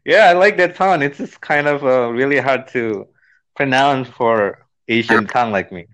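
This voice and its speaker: Indonesian-accented man, halting speech. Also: medium pitch, a bit bright, low-quality recording. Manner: halting, many fillers and restarts. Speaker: business trainer. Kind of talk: casual conversation.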